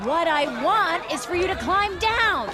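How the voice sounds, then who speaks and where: A woman voicing an exasperated villager in a video game, scolding and calling out loudly. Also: extremely high pitch, animated, unhurried. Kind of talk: video game background dialogue.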